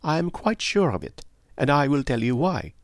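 A man's voice: fairly high pitch, sing-song, moderate pace, no room sound, studio quality, pitched above the performer's own voice. A man voicing a clever, placid older foreign detective in an audiobook, with a precise, teasing, philosophical manner. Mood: confiding. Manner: precise, philosophical, sprinkled with French endearments. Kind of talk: audiobook character dialogue.

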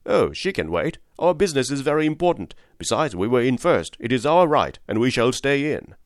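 A self-important gentleman from a storybook, acted by a male reader. He is brusque and haughty, pushing his way with a voice pitched above the narration. The sound is dry and close. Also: big pitch swings, brisk, no echo, natural voice. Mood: indignant, dismissive. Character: pushy, self-important gentleman cab passenger.

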